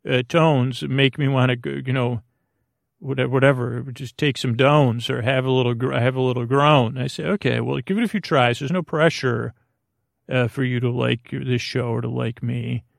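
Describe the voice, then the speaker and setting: A man speaks in a creaky, mid-pitched voice with plenty of fry, lulling the listener like a bedtime host. He meanders, restarts and trails into tangents in a soothing way. The sound is very dry, with no room around it. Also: fairly even intonation, dry recording. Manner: meandering, halting, repeats and trails off. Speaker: podcast host. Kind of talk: rambling bedtime sleep podcast intro.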